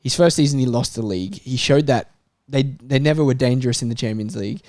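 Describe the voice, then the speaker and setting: A man's voice: medium pitch, fast, a little mellow, dry recording, studio quality. A man speaking as a football panellist in a casual debate, building an argument point by point.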